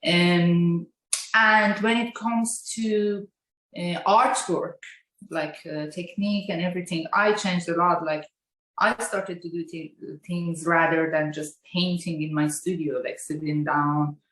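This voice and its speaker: A woman's voice: on the low side, fairly even intonation, unhurried, a bit bright, slight background noise. A woman from Iran, a guest artist on a video call, talking casually and hesitantly, with many fillers and non-native phrasing. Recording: echoey room on a video call.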